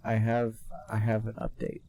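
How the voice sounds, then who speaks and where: A man co-hosting a comedy podcast, dropping dry, deadpan quips in casual chat. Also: lowish voice, normal speed, dry recording. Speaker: podcast co-host.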